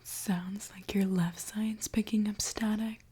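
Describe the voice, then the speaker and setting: A woman doing an ASMR roleplay as a gentle mechanic, speaking in a soft, reassuring, attentive way. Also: mid-range voice, steady tone, takes it slowly, husky. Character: gentle mechanic repairing a robot client. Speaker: ASMR creator.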